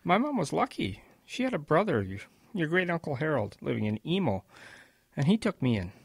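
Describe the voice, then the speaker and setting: A husky, creaky old grandfather reminisces in a radio drama. His pitch swings in lively, gentle storytelling, and the recording is close and dry. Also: fairly quick, dry recording, heavily acted. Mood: wistful. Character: kindly old grandfather reminiscing about wartime.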